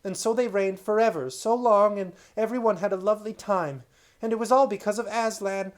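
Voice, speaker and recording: A man reading aloud and voicing an eager young boy prince who gushes breathlessly in a high, bright voice. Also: a little fast, lightly acted. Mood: excited.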